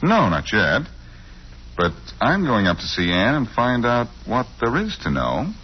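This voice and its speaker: An actor playing a cool, glib amateur sleuth in 1940s radio style, on an old muffled recording. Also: male voice, medium pitch, huge pitch swings, unhurried, husky, full character voice. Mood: cool, defiant. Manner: glib, teasing. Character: wisecracking, cool, unflappable amateur sleuth man.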